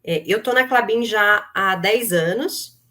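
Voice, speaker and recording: A woman's voice: mid-range voice, slow, sharp tone. A middle-aged woman with a Brazilian accent, speaking as an interview guest and explaining in a conversational way. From Brazil, grew up in Rio de Janeiro. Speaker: interview guest, human resources executive.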